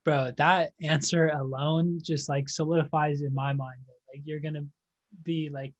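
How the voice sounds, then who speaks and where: A man with a slightly high voice acts as interviewer. He chats in a laid-back, informal way, with the slangy talk of a fan. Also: level tone, moderate pace, lo-fi sound. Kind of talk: casual interview chat.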